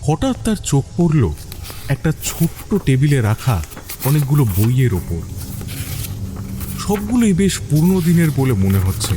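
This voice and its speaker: Mellow, expressive male suspense narrator. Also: a little high, a little hiss or hum. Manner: dramatic pauses, deliberate phrasing. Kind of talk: scripted suspense story narration.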